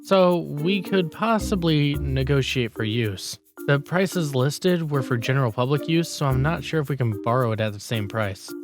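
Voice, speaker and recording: A man's voice: medium pitch, moderate pace, hardly any pauses, over music, close to an everyday voice. A man voicing a lazy, blunt senior office worker in a manga story, grumbling and critical in a matter-of-fact way. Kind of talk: manga dialogue voice acting.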